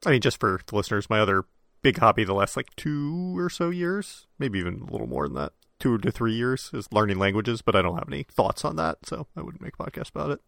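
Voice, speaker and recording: A man's voice: medium pitch, lively intonation, quick pace, vocal fry, fairly bright tone, no echo, studio quality. A man co-hosting a podcast, chatting offhandedly with lots of fillers. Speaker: podcast co-host. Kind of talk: casual chat.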